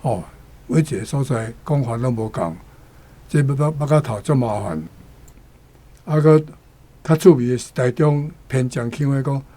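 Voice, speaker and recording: A man with a Taiwanese accent explaining words and idioms, repeating them deliberately. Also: mid-range voice, warm tone. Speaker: language commentator.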